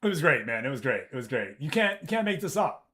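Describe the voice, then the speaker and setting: A man streaming a live game session, chatting casually and telling a work story with swearing and mimicked voices. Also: quick pace. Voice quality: deep voice. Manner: animated storytelling, swears, reads chat aloud. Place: office.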